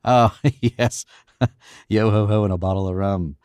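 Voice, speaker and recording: A man playing a deep, smug young host. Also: fairly even intonation, unhurried, darkish tone, clean tone, no echo, studio quality, natural voice. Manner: glib, breezy, smug. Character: smug, cocky young man hosting a party. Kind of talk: old-time radio drama acting.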